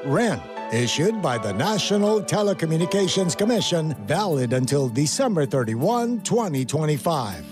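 A man reading a formal scripted station announcement in a measured, carefully enunciated way. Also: a little high. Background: background music.

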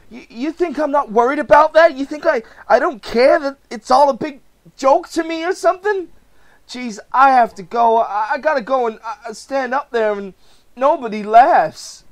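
A man's voice: extremely high pitch, voice pushed up. A man voicing a distraught, self-pitying young husband in a comic book reading, stammering and pleading. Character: anguished, self-pitying failed male comedian husband.

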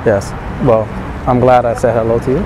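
Young man talking over loud street traffic. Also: mid-range voice, level tone, fairly quick. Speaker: dating coach. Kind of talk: street pickup talk and to-camera advice. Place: busy city square outdoors. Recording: street noise and traffic behind.

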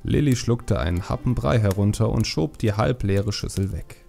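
A man with a low, gravelly voice narrates a fantasy story. His delivery is mellow scripted audiobook reading, set over faint music. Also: lively intonation, moderate pace.

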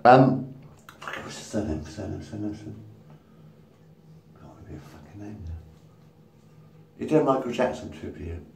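A man's voice: lowish voice, lively intonation, moderate pace, vocal fry, pauses often, darkish tone, distant mic. A man in his fifties rambling to camera in a casual monologue, swearing and laughing at his own jokes, filmed on a phone at arm's length in a small room. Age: 50s. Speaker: vlogger. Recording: roomy echo, from the mic, faint background noise.

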